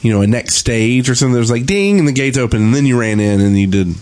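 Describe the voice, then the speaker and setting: A man's voice: medium pitch, animated, fast, darkish tone. Casual man on a gaming podcast. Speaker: podcast host.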